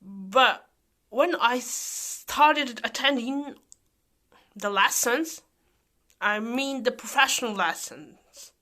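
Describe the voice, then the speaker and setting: A woman's voice: fairly high pitch, slow, bright, forceful tone. A woman from Russia with a Russian accent, talking to camera in a reflective vlog. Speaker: vlogger.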